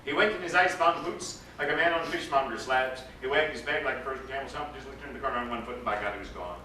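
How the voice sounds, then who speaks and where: A man, an actor, giving an expressive, dramatic reading of a literary story aloud. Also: mid-range voice, fast talker. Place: indoor live reading to a gathering. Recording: echoey room, distant mic, background noise.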